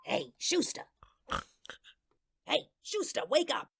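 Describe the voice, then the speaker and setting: Woman doing a streetwise ghost telling its own story, slangy n put-out, pitch bouncing around, radio play. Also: sing-song, takes it slowly, gravelly, heavily acted. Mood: chatty, bewildered. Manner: colloquial, ungrammatical, conversational storytelling. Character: chatty, aggrieved, streetwise ghost of a murder victim. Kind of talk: first-person radio drama narration with acted dialogue.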